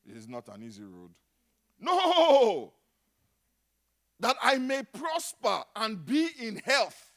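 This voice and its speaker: A middle-aged Nigerian man preaching a sermon, dropping to a hush and then rising to loud exclamations. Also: extremely high pitch, huge pitch swings, takes it slowly, long pauses. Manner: dramatic, swings from hushed to shouting. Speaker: pastor.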